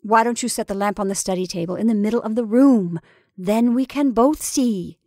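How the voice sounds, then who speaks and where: A woman's voice: mid-range voice, moderate pace, warm tone, no room sound, studio quality, lightly acted. A woman reading the part of an impatient, peremptory married woman in a story, insistent and scolding.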